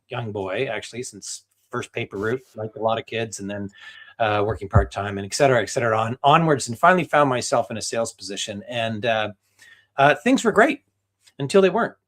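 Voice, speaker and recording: A man's voice: mid-range voice, brisk, a bit bright. Friendly middle-aged Canadian man on a call. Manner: friendly, storytelling with fillers. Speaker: guest. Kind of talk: live video call chat. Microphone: video call.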